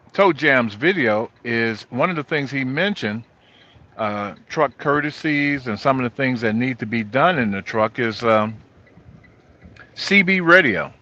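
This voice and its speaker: A middle-aged or older man, a trucker, giving an informal, halting walkthrough of gear from inside his truck cab. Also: medium pitch, animated, bright, forceful tone. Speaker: truck driver vlogger. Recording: dull lo-fi audio.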